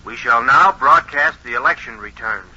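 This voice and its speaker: Bright, sharp-voiced old-time radio announcer. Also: male voice, medium pitch, lively intonation, exaggerated voice. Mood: formal, earnest. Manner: formal, deliberate announcing with throat clearing. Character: earnest, careful 1920 radio announcer reading election returns. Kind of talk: 1940s radio re-enactment of early broadcast announcing. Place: radio studio. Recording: dull, lo-fi 1940s radio recording.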